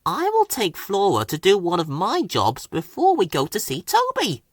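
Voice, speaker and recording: A man's voice: high voice, huge pitch swings, moderate pace, dry recording, studio quality, voice pushed up, exaggerated voice. A man voicing an eager, anxious young male steam engine in a children's storybook, with urgent exclamations. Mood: scheming.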